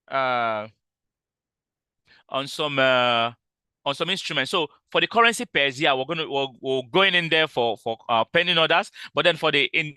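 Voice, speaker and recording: A man leading a live online trading class, explaining step by step with lots of fillers, restarts and repeated points in a loud voice. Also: mid-range voice, clear, resonant tone, dry recording. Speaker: trading instructor.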